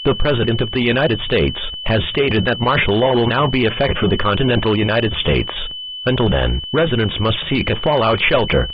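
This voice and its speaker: A man's voice: medium pitch, lively intonation, fairly quick. A synthetic-sounding male voice reading a scripted emergency alert as an announcer. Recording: muffled lo-fi radio broadcast, loud hum.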